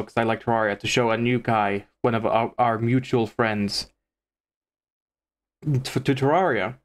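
A man chatting casually as a live streamer while he comments on the game he is playing. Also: mid-range voice.

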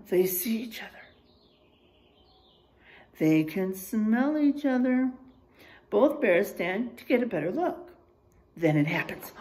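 A middle-aged woman reads to children in an animated teacher's style. Her pitch moves widely and she takes her time over phrases. She speaks encouragingly, addressing her young listeners directly. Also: gravelly. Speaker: teacher reading aloud to children. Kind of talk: animated children's read-aloud with asides to listeners. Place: small unfinished room or garage with drywall, indoors.

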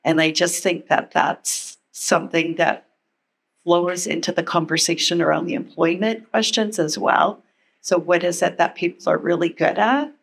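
An older woman and former educator giving an earnest, measured comment at a panel, speaking into a handheld mic in a large meeting room. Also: lowish voice, fairly even intonation, creaky, husky. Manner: earnest, measured, thinking aloud.

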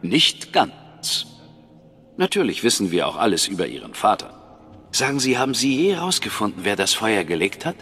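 A man's voice: lowish voice, sing-song, normal speed, fairly bright tone. A man voicing a suave, menacing English intelligence officer with formal, mocking politeness in a German video game. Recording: reverberant hall, music behind.

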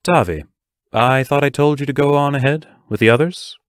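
A man's voice: medium pitch, moderate pace, clean tone, no room sound, studio quality, natural voice. An actor playing a serious, protective male schoolteacher who speaks in careful, formal phrases in an audio drama. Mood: firm, concerned.

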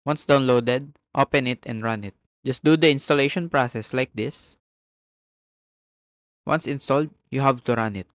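A man narrating a step-by-step software tutorial as the host. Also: medium pitch, moderate pace, no room sound, studio quality.

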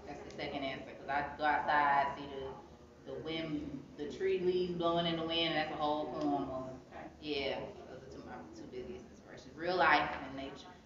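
An American woman with a deep voice talks informally, like a guest in a relaxed interview. She sits well back from the phone mic in a reverberant room, so the sound is slightly dull, with people murmuring behind her. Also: moderate pace. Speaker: interview guest, poet and author. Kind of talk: casual chatty interview answers. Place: indoor arts center room, seated. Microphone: phone camera a few metres away. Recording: echoey room, distant muffled phone-camera sound, chatter.

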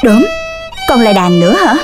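A woman voicing a firm but consoling mother dog in a children's cartoon, with a slightly husky voice. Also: mid-range voice, a little fast, music in the background, lightly acted. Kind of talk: Vietnamese children's cartoon dubbing.

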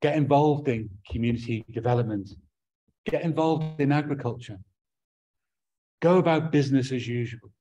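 A man's voice: medium pitch, moderate pace, pauses often, mellow, lo-fi sound. A middle-aged man with an English accent preaching a sermon from a small room at home, earnest and prone to restarting his words.

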